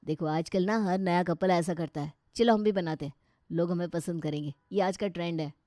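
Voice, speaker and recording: A woman acts a young, carefree Indian husband in a family tale. The delivery is chatty and complaining, with a mellow timbre. The recording is very dry. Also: lowish voice, brisk, no echo, pitched below the performer's own voice, close to an everyday voice. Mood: coaxing, irritated. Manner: casual, complaining.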